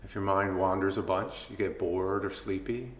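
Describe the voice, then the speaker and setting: A man leading a guided body scan meditation as a mindfulness instructor, speaking gently with unhurried pauses between phrases. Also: low voice, fairly even intonation. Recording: echoey room, faint background noise.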